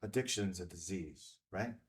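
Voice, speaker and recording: An actor playing a guarded big-city reporter, hesitant and probing, in a naturalistic screenplay read. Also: male voice, sits low, normal speed, natural voice.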